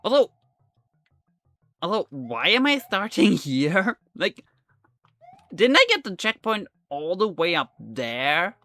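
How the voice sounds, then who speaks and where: A man's voice: high-pitched, very animated, takes it slowly, long pauses, pushed and bright, no echo. A young man whose first language is German, speaking English with a German accent while he streams and chats casually through a game, rambling with many fillers and restarts. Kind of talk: live gameplay commentary.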